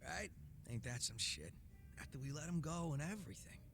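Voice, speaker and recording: An actor playing a laid-back, sly gangster boss with a soft heart in a video game, speaking in a casual, teasing drawl. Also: male voice, on the high side, very animated, fairly quick, creaky, over music. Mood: casual, wry. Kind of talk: video game cutscene acting.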